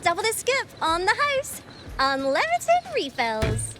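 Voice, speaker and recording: A woman's voice: very high-pitched, huge pitch swings, a little slow, background noise, heavily acted. An actress playing a bubbly, generous female gelato vendor in a video game. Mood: cheerful, grateful.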